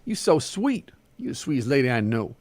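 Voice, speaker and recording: A man's voice: mid-range voice, big pitch swings, normal speed, darkish tone, dry recording. A man voicing an elderly Southern farmhand woman from a short story, fawning and indignant, exclaiming in an American South accent. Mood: fawning flattery. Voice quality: exclaiming, emphatic.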